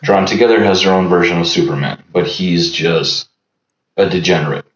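A man in his thirties with a very deep voice, talking casually as a guest and pausing often with fillers. The sound is roomy and a bit dull, as if from a lo-fi setup. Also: slight background noise. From Los Angeles. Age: 30s. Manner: relaxed, halting, many fillers. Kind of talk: casual chat.

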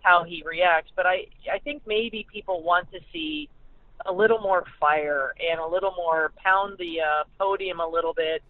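A woman with an American accent giving conversational sports analysis as a guest reporter, haltingly and often repeating words. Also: mid-range voice. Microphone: telephone. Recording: tinny, narrow phone line.

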